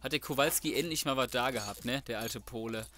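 A man's voice: mid-range voice, fairly quick, pushed and bright. A man giving casual, colloquial let's play commentary, muttering to himself as he goes. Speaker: gaming video host.

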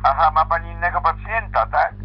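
A man's voice: fairly high pitch, fairly quick. A man improvising a pretend-play phone dialogue in a homemade audio play, talking in a polite, hesitant, questioning way. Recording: dull lo-fi playback with guitar music over it.

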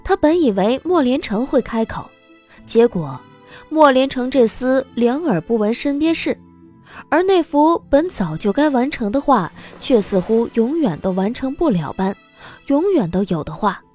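A woman narrating a scripted audio drama in an expressive storytelling style. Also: sits high, a little slow, faint music behind.

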